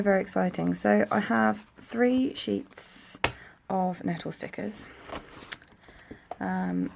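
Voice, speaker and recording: A young woman with a croaky voice, chatting casually at home while decorating her planner. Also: fairly low pitch. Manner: chatty, rambling, soft-spoken. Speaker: planner vlogger. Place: home room near a busy road. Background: traffic noise.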